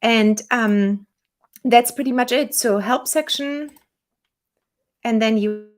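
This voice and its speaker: A woman with a German accent, the owner of a small software company, walking viewers through a software tutorial in a relaxed, chatty way with fillers. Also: on the high side, slow. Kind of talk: screen-share software tutorial.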